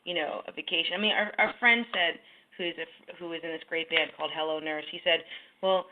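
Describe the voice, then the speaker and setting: A woman's voice: deep voice, fairly even intonation, a little fast. Chatty woman musician, rambling. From New York. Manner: rambling, many fillers and restarts. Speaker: musician in a duo, piano. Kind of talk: casual interview chat. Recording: slightly dull lo-fi sound with faint music.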